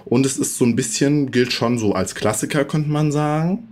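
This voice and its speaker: A hoarse man with a cold, co-hosting a casual podcast chat and explaining things at length with many hesitations. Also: medium pitch, normal speed, a little mellow, a little hiss or hum. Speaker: podcast co-host.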